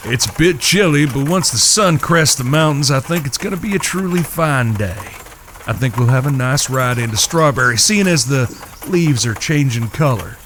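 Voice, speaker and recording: Male actor as folksy, courteous Old West gunslinger, forceful bright tone, slight background noise. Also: mid-range voice, expressive, close to an everyday voice. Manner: folksy, formal old-fashioned courtesy, drawn-out emphatic phrasing. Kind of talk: Western audio drama acting.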